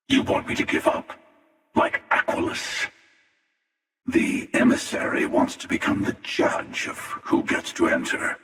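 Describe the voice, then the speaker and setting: An actor playing a sardonic, ruthless hunter from another universe in a video game, speaking in a measured, taunting, philosophical way with a gravelly, heavily reverberant voice. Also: male voice, medium pitch, fairly bright tone, exaggerated voice. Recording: long echoing reverb, distant sound.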